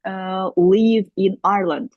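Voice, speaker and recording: A woman whose first language is Crimean Tatar, speaking up from the audience at a discussion, hesitant and pausing often with fillers as she searches for words. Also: medium pitch, steady tone, no echo, dull audio. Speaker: audience member asking a question. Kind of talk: spontaneous discussion remarks.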